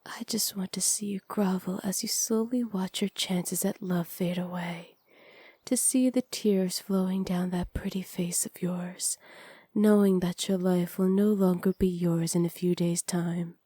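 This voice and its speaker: Woman playing a low, mellow, sly evil witch. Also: steady tone, husky, no room sound, studio quality. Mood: smug, cruel. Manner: teasing, smug, unhurried, often dropping to near-whispers. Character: sly, flirty evil witch, teasing and cruel. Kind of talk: ASMR roleplay, intimate and soft. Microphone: close microphone, dry.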